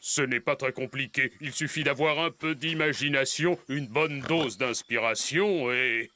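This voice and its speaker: A man voicing a kindly, wise grown-up cartoon animal who explains things calmly and patiently in a French cartoon dub. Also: medium pitch, unhurried.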